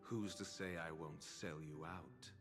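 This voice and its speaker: An actor playing a haughty, learned doctor in a video game, pompous and formal, with measured, condescending delivery. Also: male voice, deep voice, steady tone, music in the background. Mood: aloof.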